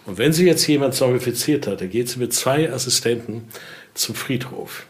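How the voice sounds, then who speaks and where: A man's voice: medium pitch, expressive, normal speed. A man giving an informal spoken explanation as an expert guide, often adding short tag questions to check agreement. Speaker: expert guide showing a collection.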